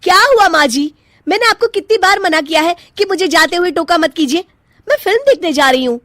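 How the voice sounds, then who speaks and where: A woman's voice: extremely high pitch, animated, fairly quick, fairly bright tone, no room sound, voice pushed up. A woman voicing a spoiled, insolent young daughter-in-law in a cartoon story, whining and defiant. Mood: irritated.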